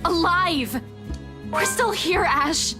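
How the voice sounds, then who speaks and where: A woman's voice: extremely high pitch, animated, drawn-out words, heavily acted. A woman playing a fiercely caring, frustrated young heroine in a horror game, emphatic and impassioned. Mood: pleading. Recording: music bed behind the voice.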